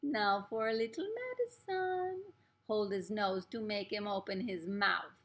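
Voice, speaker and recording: A woman voicing a cruel, bossy Grand High Witch in a storybook read-aloud, imperious and full of scornful insults. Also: a little slow, lightly acted. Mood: gloating.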